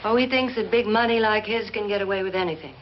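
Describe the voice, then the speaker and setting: An actress playing the cool, anxious wife of a jealous millionaire in a 1950s horror film, poised and commanding. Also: female voice, mid-range voice, quick pace, a little hiss or hum, close to an everyday voice. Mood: fearful, grave. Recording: dull vintage film soundtrack with music.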